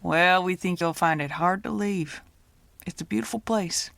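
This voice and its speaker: Actress as creaky-voiced, folksy pastor's wife. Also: female voice, on the low side, normal speed, a bit bright, dry recording. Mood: folksy welcome. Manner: folksy, genteel, euphemistic. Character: polite, devout small-town pastor's wife, guarded, thirties. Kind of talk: screenplay table-read acting.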